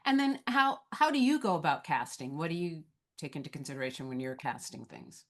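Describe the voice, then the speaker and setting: A woman's voice: deep voice, expressive, fairly quick. A woman moderating a conversational question-and-answer session and putting questions to a guest.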